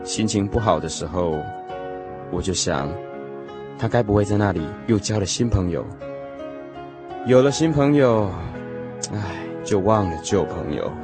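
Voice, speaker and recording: An actor playing a lovesick young man, telling his lost first love in an expressive, emotional radio drama style. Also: lowish voice, unhurried, pauses often. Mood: wistful longing. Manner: expressive, sighing, emotional storytelling. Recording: music playing behind the voice. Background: music.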